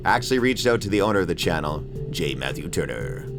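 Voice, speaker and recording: A man's voice: sits low, sing-song, quick pace. A man narrating a scripted comedic video essay as its host, with an irreverent, sarcastic and crudely joking manner. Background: background music with guitar.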